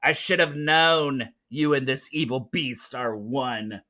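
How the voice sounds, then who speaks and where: A man's voice: medium pitch, slow, bright, forceful tone, dull audio, pitched above the performer's own voice. A man voicing a big, furious, bitter hunter in a storybook, sneering and spitting out his words. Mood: scornful fury. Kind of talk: storybook character acting.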